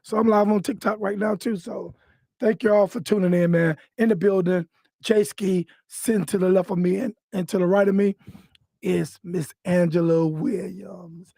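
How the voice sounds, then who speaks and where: Male talk show host, casual and easygoing, high gravelly voice. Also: steady tone, moderate pace, mellow, no echo, clean studio sound. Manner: laid-back, says man a lot. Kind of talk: casual live interview.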